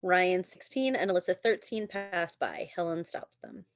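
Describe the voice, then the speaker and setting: Female narrator for script directions, lower register, dry, slightly dull recording. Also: moderate pace. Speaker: stage directions reader. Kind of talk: scripted narration at a screenplay table read.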